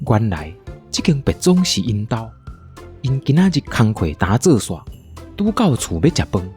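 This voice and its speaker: A man with a Taiwanese accent narrating a comic radio drama in a playful, expressive storytelling style. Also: mid-range voice, moderate pace, soft background music.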